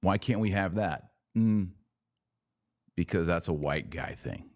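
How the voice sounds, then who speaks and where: Male podcast host, very deep and slow, sardonic and emphatic commentary, dry close mic. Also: darkish tone, dry recording. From Texas. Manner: sardonic, emphatic, shifts pace often. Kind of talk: opinionated solo commentary.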